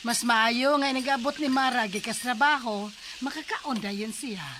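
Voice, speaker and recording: Radio drama role: woman playing tender, worried middle-aged Bisaya mother, fairly high voice, music underneath. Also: unhurried, background noise, close to an everyday voice. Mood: warm, grateful. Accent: Filipino, Bisaya.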